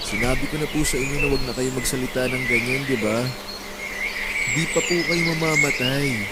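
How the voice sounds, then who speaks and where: An actor playing a devoted, remorseful grown son in a Filipino radio drama, speaking with earnest, emotional pleading. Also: male voice, mid-range voice, brisk, very noisy, close to an everyday voice. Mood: pleading, loving. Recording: loud music under the voice.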